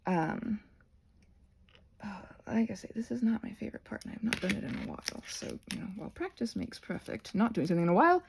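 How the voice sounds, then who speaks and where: A woman's voice: mid-range voice, very animated, fairly quick, gravelly, background noise. A woman hosting a casual craft tutorial in a scattered, rambling way, trailing off mid-sentence and talking to herself with a playful streak.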